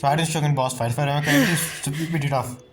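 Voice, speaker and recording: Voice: mid-range voice, fairly even intonation, fast talker. A game streamer giving casual, blunt playthrough commentary and reacting aloud to the game. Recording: game music behind the voice.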